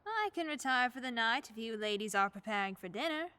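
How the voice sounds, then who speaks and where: A woman playing an elderly widowed neighbor in her seventies in a radio play, speaking gently and plainly with a wry edge. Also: a little high, animated, a little fast, smooth, dry recording. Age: 70s. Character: kindly widowed old woman neighbor, churchgoing.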